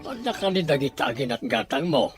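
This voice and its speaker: A man's voice: mid-range voice, very animated, brisk, loud music behind the voice, natural voice. A man acting as a doubtful husband who questions his wife's scheme in an audio drama. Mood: reproachful.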